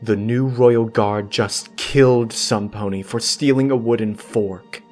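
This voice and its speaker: A man's voice: lowish voice, steady tone, measured pace, darkish tone, faint music behind, lightly acted. A man voicing a blunt, reproachful female alicorn princess in a comic storybook. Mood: rebuking.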